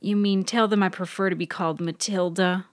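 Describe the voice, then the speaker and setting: A woman voicing a bright, wry young woman in a fiction podcast, articulate and emphatic. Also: mid-range voice, steady tone, fairly quick, dry recording, clean studio sound, close to an everyday voice. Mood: wry, determined. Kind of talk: audiobook character voice.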